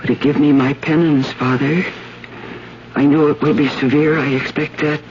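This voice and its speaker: Actress as a haunted, low-voiced woman confessing, old radio. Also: steady tone, normal speed, background noise, close to an everyday voice. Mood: resigned guilt. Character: guilt-ridden woman confessing a grave sin to a priest. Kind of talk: 1970s radio drama acting. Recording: dull old radio recording, loud music.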